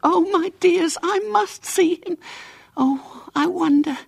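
A man reading a story, voicing an excitable, starstruck middle-aged aunt who gushes breathlessly. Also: very high-pitched, takes it slowly, gravelly, voice pushed up, full character voice. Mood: excited. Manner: gushing, breathless.

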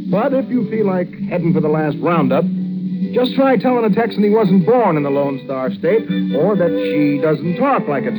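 A man announces with a lively, swooping delivery and reads a scripted, punning introduction. His voice sits a little high for a man. Loud music plays under him on a vintage radio broadcast. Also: low-quality recording. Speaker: radio show host and announcer.

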